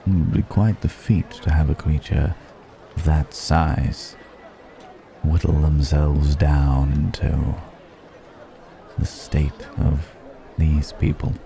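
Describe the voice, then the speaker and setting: Casting: male actor for ancient dragon in disguise, ASMR drama. Extremely low, dark velvety voice, wry, curious, archaic turns of phrase, music and crowd behind. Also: steady tone, vocal fry, heavily acted, low-quality recording. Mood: teasing, amused. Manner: musing, archaic formal phrasing, unhurried hums. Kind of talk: ASMR roleplay audio drama.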